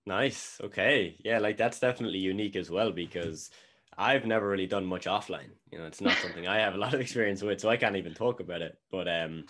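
Deep-voiced man hosting an interview. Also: fast talker. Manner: relaxed, repeats words and fillers. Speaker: host. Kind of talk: casual interview chat.

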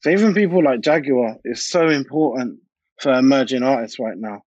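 A man's voice: mid-range voice, normal speed, a little mellow, no room sound. British man chatting, dull dry recording. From United Kingdom. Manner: informal, colloquial, anecdotal. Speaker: interview guest, DJ and music producer. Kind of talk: casual interview chat.

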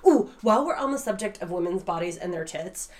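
A woman's voice: medium pitch, a little fast. A woman co-hosting a podcast in casual chat, ranting with lots of swearing and laughter. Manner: profane, laughing, animated rants. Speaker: podcast co-host.

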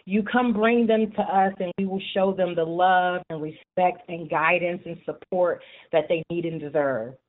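A woman's voice: fairly low pitch, steady tone, moderate pace, few pauses. Passionate woman on a tinny phone line. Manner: earnest, passionate, talks at length. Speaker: guest, youth support group chapter leader. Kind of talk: radio interview.

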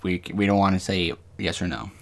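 A man co-hosting a podcast, talking casually and reading from notes, with halting, rambling explanations. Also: sits low, steady tone, gravelly, fairly bright tone. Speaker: podcast co-host. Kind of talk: casual discussion with some reading aloud from notes.

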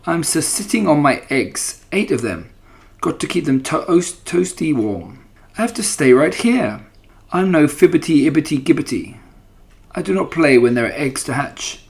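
A man's voice: fairly high pitch, sing-song, moderate pace, gravelly. A man voicing a fussy, motherly female goose who stutters over her words in a storybook reading.

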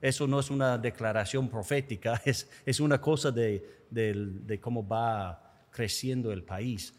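A man's voice: medium pitch, steady tone, unhurried, long reverb tail. A man hosting an interview in Spanish with an American accent, English his first language, upbeat and jokey. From United States. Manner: jokey, upbeat, self-deprecating. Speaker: podcast host. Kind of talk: casual interview.

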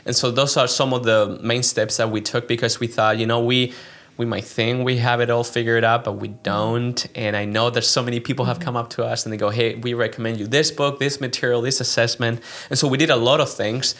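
An earnest young man from Chile talking about his life as a pastor in a relaxed interview. His voice sits in an ordinary middle pitch, and he circles back and restarts his sentences as he thinks aloud. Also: brisk, few pauses. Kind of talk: casual interview chat.

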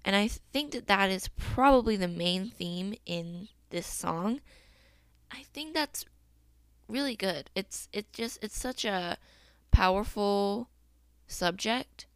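Young woman host, casual and upbeat, laughs easily; smooth voice, dry close sound. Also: medium pitch, takes it slowly, no room sound. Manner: chatty, upbeat, laughs often, uses fillers like "um" and "like". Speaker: podcast host. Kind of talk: casual solo podcast chat about a song.

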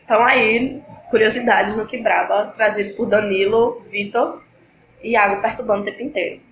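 Uma mulher com gripe apresentando um podcast em conversa descontraída, falando de forma animada e dispersa. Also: female voice, mid-range voice, fairly even intonation, moderate pace, echoey room, noisy background. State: has a cold, congested. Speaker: podcast host. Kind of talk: casual podcast chat.

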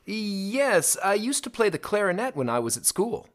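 Wry lesson speaker, lively pitch. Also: normal speed. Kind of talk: scripted lesson answers.

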